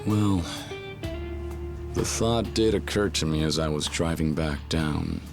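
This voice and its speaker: A man's voice: rumbling low, slow pace, full character voice. An actor voicing a stoic, terse young male yakuza brawler in an English game dub, with a dry, clipped, understated delivery. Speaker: game character. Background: game soundtrack music.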